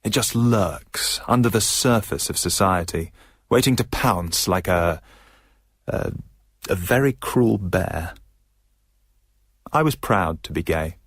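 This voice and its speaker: Lowish guy, wry and reflective, measured radio monologue, really close dry sound. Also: lively intonation, a bit bright, no echo, heavily acted.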